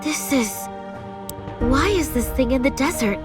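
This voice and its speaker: Slow-spoken, earnest young vampire heiress. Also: female voice, sits high, lively intonation, music in the background. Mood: focused. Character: determined young female vampire heir seeking her sister. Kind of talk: dramatic game voice acting with narration.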